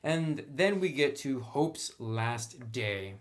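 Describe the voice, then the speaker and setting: A man's voice: mid-range voice, lively intonation, slow pace. A man giving a casual, opinionated review as a tabletop game reviewer, talking in an informal, conversational way.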